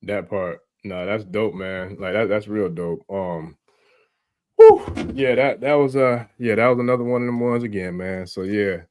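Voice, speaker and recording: A man's voice: on the low side, moderate pace, darkish tone. A man in casual chat, talking laid-back with slang and lots of fillers.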